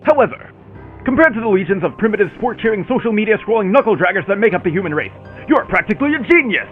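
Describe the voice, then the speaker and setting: Man doing a pompous mad scientist villain, high voice, wildly swooping, sneering, light music behind. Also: animated, fast, phone-quality sound. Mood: scornful lecturing. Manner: grandiose, sneering, theatrical. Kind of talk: fan comic dub voice acting.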